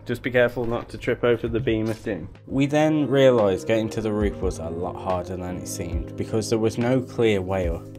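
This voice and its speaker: A man's voice: on the low side, normal speed, few pauses, music in the background. A young man, vlogging casually while exploring an abandoned factory, laughing often. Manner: chatty, laughs often, loose rambling. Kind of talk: casual vlog with voice-over narration. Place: abandoned factory indoors and on a roof.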